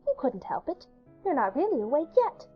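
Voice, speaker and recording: A woman voicing a kind, dreamy little schoolgirl with a gentle, friendly manner in a dramatized storybook. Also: high-pitched, very animated, moderate pace, muffled recording, soft background music, voice pushed up. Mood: reassuring.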